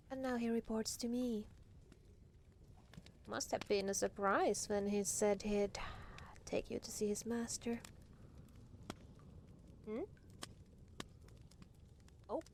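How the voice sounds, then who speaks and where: Woman acting a haughty, slow-talking demon lady. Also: mid-range voice, fairly even intonation, a little mellow, a little hiss or hum. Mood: curious, condescending. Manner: condescending, breathy murmurs, theatrical drawn-out phrasing. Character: haughty, teasing female demon lord, regal and amused. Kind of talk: ASMR roleplay acting.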